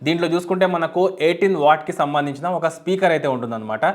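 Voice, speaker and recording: A man's voice: a little high, lively intonation, racing. A man explaining a product step by step as a tech reviewer, in an unboxing video style. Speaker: YouTube tech reviewer and host.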